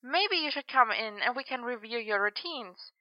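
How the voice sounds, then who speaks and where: A bubbly, flirtatious coach, as a woman reader puts her on, high and sing-song, with pitch that leaps all over. She teases in a soft, breathy lilt. The sound is dry and a little muffled. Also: expressive, moderate pace, no echo, close to an everyday voice. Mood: teasing.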